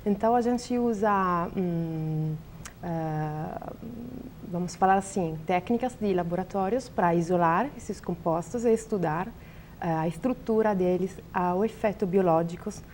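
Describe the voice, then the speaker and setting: A young Italian woman with an Italian accent, a biologist answering interview questions in a language she is still learning, pausing often to search for words. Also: on the low side, a little mellow, slight background noise. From Italy. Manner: hesitant, searching for words, many fillers.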